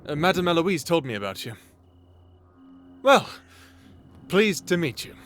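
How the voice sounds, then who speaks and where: An actor playing a nervous, courteous young man held captive, in a dark comedy audio drama. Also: fairly high pitch, big pitch swings, a little slow, a little hiss or hum. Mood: eager to please. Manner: stammering when cowed, courteous. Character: nervous, polite young male human captive.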